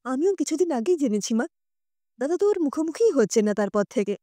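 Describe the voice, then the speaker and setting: Casting: female reader as worried young granddaughter in an audio drama, earnest, high, very expressive pitch. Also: fairly quick, no room sound, studio quality. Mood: anxious, earnest. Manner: pleading, earnest. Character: anxious, soft-hearted young granddaughter confiding in elders.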